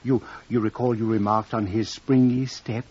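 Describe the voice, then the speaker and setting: Husky, nervous, evasive gentleman doctor played by a man. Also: fairly low pitch, steady tone, close to an everyday voice. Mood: anxious, coaxing. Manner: halting, fussy, furtive. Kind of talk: 1950s radio acting. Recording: muffled vintage radio recording.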